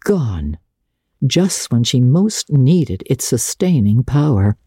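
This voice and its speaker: A woman reading a classic novel as a dramatic audiobook, with measured, expressive storytelling. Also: rumbling low, slightly rough, dry recording, studio quality. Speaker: audiobook narrator.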